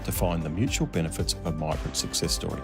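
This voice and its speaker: Male host, low-pitched, polished scripted narration and interview questions, music under the voice. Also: animated, fast talker. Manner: polished, introducing guests.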